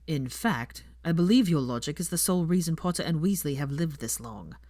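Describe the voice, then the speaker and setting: A woman voicing a calm, serious young man in an audiobook, speaking in a measured, pointed way. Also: low-pitched, dry recording, studio quality. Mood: exasperated. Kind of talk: audiobook character dialogue.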